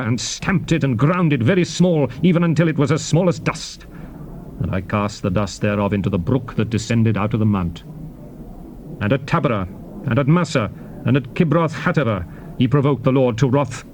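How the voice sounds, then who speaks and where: An actor playing a solemn Hebrew prophet and leader, addressing his people in formal, declamatory scripture oratory with measured phrasing. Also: mid-range voice, a little mellow, close to an everyday voice. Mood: stern, reproachful. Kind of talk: dramatized scripture reading, formal theatrical oratory. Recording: noisy old cassette tape transfer.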